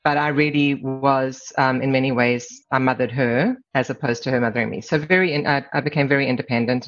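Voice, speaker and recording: A middle-aged woman with a South African accent, reflecting candidly on personal matters as an interview guest in a measured, conversational way. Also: extremely low pitch. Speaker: podcast guest, lawyer. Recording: slightly dull, lo-fi sound.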